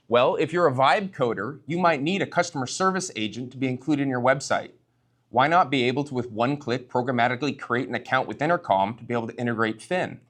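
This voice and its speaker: A man speaking as a startup founder at a tech meetup, conversational, addressing the audience directly and posing rhetorical questions. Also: medium pitch.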